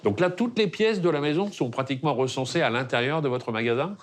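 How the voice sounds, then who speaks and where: A man's voice: on the high side, expressive, quick pace. A male television presenter doing a chatty, playful on-location interview in a shop. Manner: chatty, playful, leading questions. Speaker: TV home-decor show presenter. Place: indoor decor shop.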